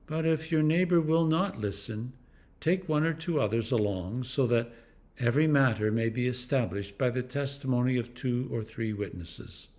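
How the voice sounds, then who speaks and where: A clergyman reading scripture aloud in a deliberate, expressive way, with dramatic emphasis and pauses. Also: medium pitch. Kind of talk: scripture reading, devotional narration.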